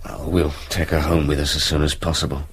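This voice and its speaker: An actor with an English accent playing an uneasy, probing son-in-law in a naturalistic radio drama, careful and questioning. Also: male voice, rumbling low, little pitch movement, fairly quick, slight background noise. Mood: disapproving, sombre.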